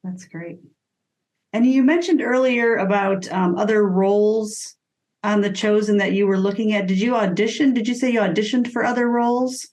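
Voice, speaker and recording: A woman's voice: medium pitch, fairly even intonation, normal speed, a little mellow. A woman interviewing a guest in a casual, chatty style. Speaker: interview host.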